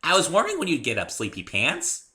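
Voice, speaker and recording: A man's voice: on the high side, huge pitch swings, fairly quick, bright, forceful tone, pitched above the performer's own voice, exaggerated voice. A man voicing a perky, silly female pony in a dramatic story reading, bubbly and playfully teasing. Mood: perky greeting.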